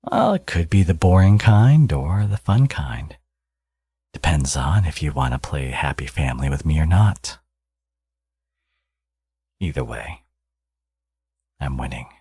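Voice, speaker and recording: A man acts out a smug supervillain who dotes on his baby, in an intimate ASMR roleplay. His voice is very low and gravelly, murmured slowly and close in a dry space. He is cocky and playfully teasing with his lover. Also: very animated, warm tone, no room sound, exaggerated voice. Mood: teasing, reassuring. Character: smug, teasing male supervillain, doting new father.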